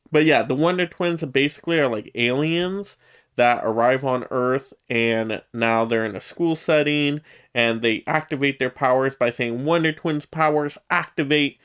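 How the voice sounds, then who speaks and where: A man's voice: mid-range voice, smooth. A man hosting a casual solo news roundup, conversational and emphatic, often checking himself with asides. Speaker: YouTube host giving movie news commentary.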